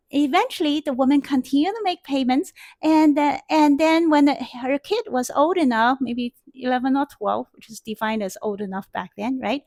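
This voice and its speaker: A woman's voice: high voice, dry recording. A middle-aged woman math teacher in a classroom, telling a story in a chatty, rambling way with many fillers, on a headset mic. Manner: chatty, many fillers and restarts, animated gestures. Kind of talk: casual storytelling lecture. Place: classroom in front of a whiteboard.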